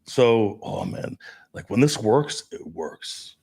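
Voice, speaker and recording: A man talking casually as a dating coach, brash and profane, telling stories with animated asides. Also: lowish voice, slow pace, gravelly, slightly rough. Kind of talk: casual podcast chat.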